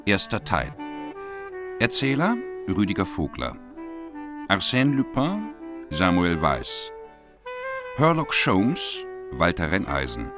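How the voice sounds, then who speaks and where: A man reads out the credits of a radio play in a slow, formal voice. His voice is deep and quite melodic, and music plays clearly behind him. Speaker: announcer. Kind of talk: reading closing credits.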